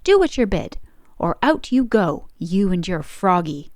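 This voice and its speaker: A woman voicing a bossy storybook stepmother who scolds and gives sharp commands. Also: on the low side, big pitch swings, measured pace, dry recording, clean studio sound. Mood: stern, scolding.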